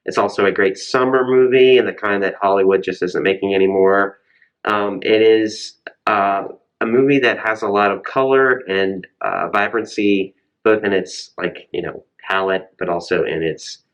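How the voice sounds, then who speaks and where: A man's voice: low-pitched, fairly even intonation, moderate pace, a bit bright. A man co-hosting a casual chat show, giving conversational film recommendations, on a slightly muffled recording. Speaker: co-host.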